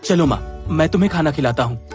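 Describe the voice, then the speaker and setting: A man's voice: on the high side, lively intonation, brisk, a little mellow, faint music behind, close to an everyday voice. A man voicing a caring, earnest young stepson in a cartoon, sounding concerned. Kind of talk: Hindi moral-story cartoon dubbing.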